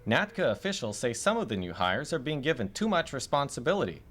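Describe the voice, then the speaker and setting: A man's voice: mid-range voice, sing-song, quick pace, fairly bright tone. A man narrating a news documentary from a script as the reporter.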